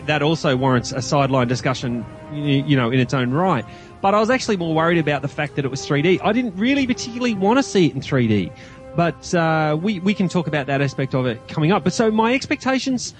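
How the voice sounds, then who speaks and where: A man with an Australian accent giving his views as a guest in a casual film review chat. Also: a little high. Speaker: podcast guest.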